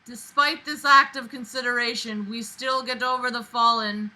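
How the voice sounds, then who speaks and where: A woman reading aloud and commenting with exasperated, animated, emphatic reactions that swing dramatically in intensity. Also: a little high, normal speed, rough recording.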